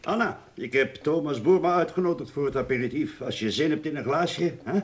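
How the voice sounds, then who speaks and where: An actor playing a concerned, paternal office partner in a radio drama, firm but kindly. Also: male voice, a little high, fairly quick, slightly rough. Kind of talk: naturalistic radio drama dialogue.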